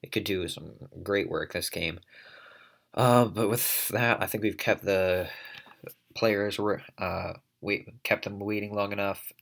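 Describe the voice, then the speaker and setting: A man's voice: on the low side, steady tone, slow pace, vocal fry, a bit bright. A man commentating a competitive online battle in a casual play-by-play, congested from allergies, coughing and thinking aloud as he goes. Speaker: league commentator.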